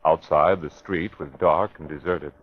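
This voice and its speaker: A man's voice: very deep voice, steady tone, a little slow, background noise. A man narrating a classic radio mystery drama in a scripted, dramatic style. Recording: dull vintage radio broadcast recording.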